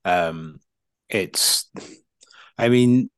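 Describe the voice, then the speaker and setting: A man with a fairly low voice co-hosts a football podcast in a casual style. He thinks aloud, tentative and full of qualifications, in a very dry recording. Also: extremely slow, no room sound. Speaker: podcast co-host.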